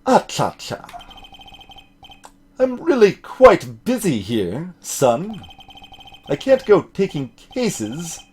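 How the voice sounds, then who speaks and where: A man voicing a pompous, blustery older male lawyer from a video game, clearing his throat and leaning grandly on his words. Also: high voice, wildly swooping pitch, drawn-out words, pauses often, a little mellow, exaggerated voice. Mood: blustery, dismissive.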